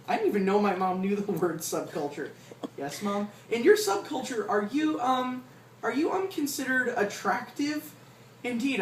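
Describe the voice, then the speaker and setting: A person reading aloud from a comic memoir in an informal way, breaking off for asides and chuckles. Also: medium pitch. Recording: roomy, distant mic, clear background noise.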